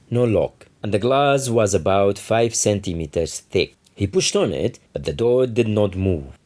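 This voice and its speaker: A man's voice: on the low side, darkish tone. A man reading literary fiction aloud as its author, in scripted narration. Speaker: author reading from his novel.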